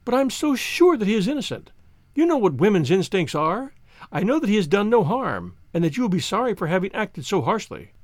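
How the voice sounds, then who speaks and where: A man voicing an anxious young gentlewoman who pleads earnestly in polite period English for an audiobook. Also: high voice, very animated, fairly quick, no room sound. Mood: pleading.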